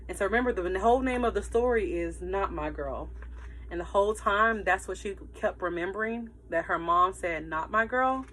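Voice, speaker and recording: A woman teacher reading a story aloud to children in an animated, emphatic way, with asides to her listeners. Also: lowish voice, a little hiss or hum, poor audio.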